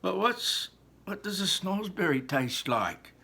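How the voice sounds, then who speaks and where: A man doing a brash loud young boy. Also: high voice, very animated, slow, gravelly, voice pushed up, exaggerated voice. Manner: blunt, loud, clipped. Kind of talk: storybook read-aloud.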